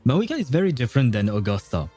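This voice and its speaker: A man's voice: mid-range voice, big pitch swings, rapid-fire, mellow, husky, soft background music. A man giving casual livestream commentary on a game, chatty and musing, sometimes reading dialogue aloud. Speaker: game streamer.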